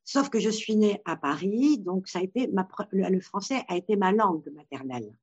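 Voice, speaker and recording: A woman from Morocco, a therapist, answering interview questions in a thoughtful, halting way with many restarts. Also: medium pitch, animated, husky. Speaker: Gestalt therapist. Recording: dull lo-fi sound.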